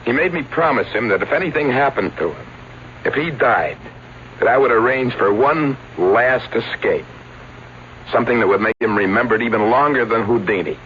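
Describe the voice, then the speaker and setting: A man's voice: mid-range voice, expressive, moderate pace, close to an everyday voice. A man playing a defensive showbiz agent explaining a publicity stunt in an old radio drama. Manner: earnest, defensive, showman's patter. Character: fast-talking showbiz agent, defensive and sheepish. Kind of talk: 1970s radio drama acting. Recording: dull old radio broadcast with hiss.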